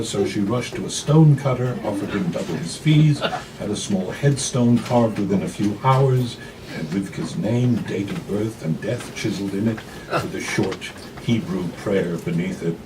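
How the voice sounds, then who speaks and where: A man giving an expressive, dramatic reading of literary fiction to a live audience in a cafe, with weighted pauses. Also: mid-range voice. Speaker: actor reading a novella aloud. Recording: noisy live room, distant mic.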